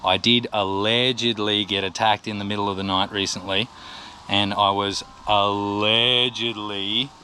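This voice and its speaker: Bright-voiced Australian man, chatty explainer. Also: mid-range voice, a little hiss or hum. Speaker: vlogger, musician. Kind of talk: casual explainer to camera.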